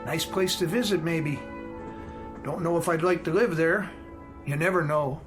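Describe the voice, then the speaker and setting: High-pitched, folksy American train conductor played by a man. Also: moderate pace, a bit bright, soft background music, close to an everyday voice. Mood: folksy, easygoing. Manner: clipped, folksy phrasing. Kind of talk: modern radio drama acting.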